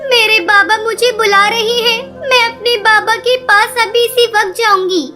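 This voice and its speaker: A woman's voice: very high voice, fairly even intonation, normal speed, pushed and bright. A woman voicing a small, sad young girl bird who pleads tearfully. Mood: pleading.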